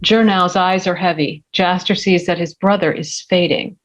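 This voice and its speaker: A woman's voice: fairly low pitch, fairly even intonation, moderate pace. A woman reading scripted screenplay stage directions as narrator at a table read. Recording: dull, lo-fi remote audio.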